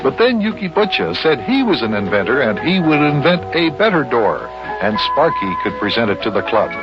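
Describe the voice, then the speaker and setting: A genial man as acted by a radio host narrates for children with very lively swings in a middle-pitched voice. The folksy delivery sits on a dull vintage recording with music. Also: brisk, close to an everyday voice. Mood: storytelling.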